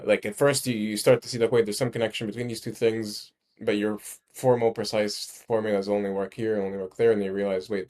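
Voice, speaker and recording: A man explaining his ideas in a casual discussion, halting and rephrasing emphatically as he goes. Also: lowish voice, darkish tone. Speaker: speaker explaining a research ranking system.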